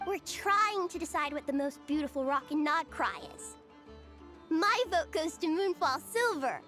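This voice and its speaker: A woman's voice: sits high, lively intonation, unhurried, a bit bright. A woman voicing a spirited, opinionated little village girl in a video game, childlike and emphatic, with music behind her. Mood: assertive.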